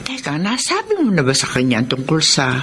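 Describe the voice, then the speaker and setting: Very animated Filipina mother in radio drama. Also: deep voice, a little fast, background noise. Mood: curious, encouraging. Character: supportive, frank middle-aged Filipina mother.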